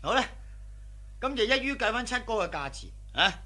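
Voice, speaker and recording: A man's voice: high voice, sing-song, a little fast, bright, forceful tone, exaggerated voice. An actor playing a hearty, shrewd middle-aged boss and indulgent father in a radio drama, effusive and colloquial. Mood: assuring, businesslike.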